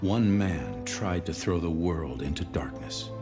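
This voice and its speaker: An actor voicing a solemn, resolute corporate propaganda narrator with dramatic scripted delivery in a game. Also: male voice, low-pitched, animated, a little slow, over music.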